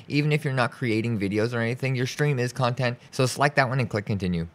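Male tutorial host, mid-pitched, informal and reassuring, walking through software steps. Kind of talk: step-by-step software tutorial narration. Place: indoors, voice-over for a screen recording.

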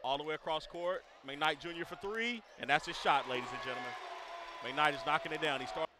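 A man doing brisk play-by-play commentary on basketball highlights. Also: background noise.

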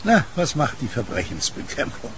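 A man's voice: mid-range voice, wildly swooping pitch, moderate pace. An actor playing a genial, solitary late-night kiosk keeper who is fond of his dog, in a horror radio drama. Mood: teasing, genial. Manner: chatty, teasing, soothing to his dog. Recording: noisy storm ambience behind voice.

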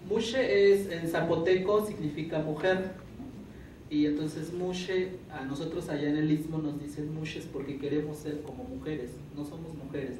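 A man's voice: on the high side, steady tone, unhurried, a little mellow. A man from Juchitán in Mexico giving an earnest testimony at a panel, explaining patiently and repeating his key words. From Juchitán, Isthmus of Tehuantepec, Mexico. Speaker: panel speaker. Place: conference room. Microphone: table microphone, recorded by far camera. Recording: echoey room from far off, noisy.

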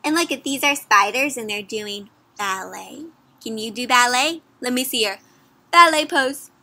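A woman in her twenties doing an interactive storybook read-aloud for young children, animated and sing-song, often asking questions. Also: fairly high pitch, normal speed. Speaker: children's storybook reader and host. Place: outdoors in a leafy yard.

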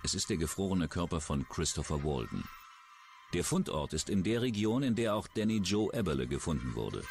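A man's voice: sits low, sing-song, brisk, a little mellow, a little hiss or hum. A man reading scripted true-crime narration as a documentary narrator. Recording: music under the voice. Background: background music.

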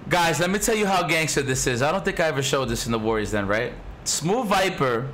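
A man in his thirties with a raspy voice, hosting a stream and commenting excitedly over old fighting game footage. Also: fairly high pitch, a little fast, very noisy. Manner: excited, swears a lot, repeats himself. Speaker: stream host.

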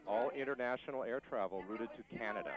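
Male control room staffer, mid-pitched, clipped phrases, muffled audio amid busy voices. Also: quick pace, a crowd in the background. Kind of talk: off-air production talk.

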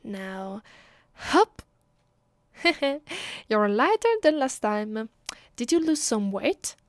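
A woman with an Italian accent playing an affectionate, playful vampire girlfriend in an ASMR roleplay, speaking softly, intimately and teasingly. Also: fairly high pitch, expressive, very slow, no echo, studio quality, full character voice. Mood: playful, caring. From Italy. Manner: soft, tender, teasing, intimate. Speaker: voice actress.

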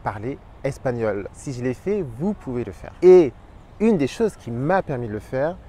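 A man in his thirties who hosts a language channel, explaining his tips to camera in an energetic, expressive way. He is outdoors by a park pond and wears a lapel mic. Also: mid-range voice, normal speed. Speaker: Spanish teacher and channel host.

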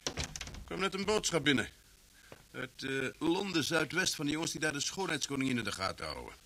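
Dutiful police office assistant in a radio play, obliging, crisp bright tone. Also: male voice, a little high, big pitch swings, brisk, exaggerated voice, rough recording. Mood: businesslike. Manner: obliging, businesslike. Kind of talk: Dutch radio drama acting.

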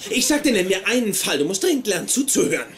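A fussy young male sitcom physicist, pedantic and insistent. His pitch is high and very animated. There is clear noise on the soundtrack. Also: fast talker, crowd noise. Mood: indignant, pedantic. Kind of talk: dubbed sitcom acting.

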